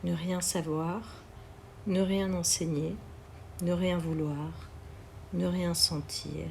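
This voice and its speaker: A woman reads literary poetry aloud in a measured, deliberate way, pausing between phrases. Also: on the low side, fairly even intonation, gravelly, slight background noise. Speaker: poetry reader.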